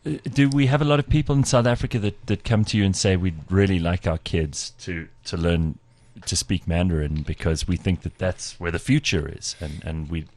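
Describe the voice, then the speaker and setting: A man's voice: low voice, moderate pace, few pauses, darkish tone, dry recording. A man hosting a podcast, asking questions with playful, encouraging remarks. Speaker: podcast host. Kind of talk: interviewing guests.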